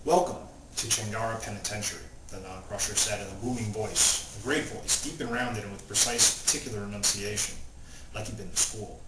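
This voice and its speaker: A man's voice: lowish voice, fairly even intonation, brisk. A male author talking informally and reading his novel aloud in his own plain voice, without putting on character voices. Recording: roomy, distant mic, loud background noise.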